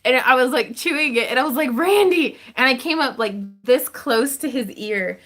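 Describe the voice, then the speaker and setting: A woman's voice: high voice, expressive, moderate pace, fairly bright tone. A woman hosting a craft livestream Q&A, chatty and giggly, with lots of fillers, from her home craft room. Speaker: craft channel host. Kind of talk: livestream Q&A chat.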